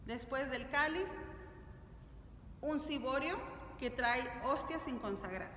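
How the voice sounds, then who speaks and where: High-voiced woman in an echoey church. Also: takes it slowly, sharp tone. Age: middle-aged. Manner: didactic, asks check-in questions. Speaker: catechism teacher. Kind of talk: explanatory religious lesson for families. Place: large stone-walled church, indoors. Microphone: camera a few steps away. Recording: echoey church hall, distant mic, noisy.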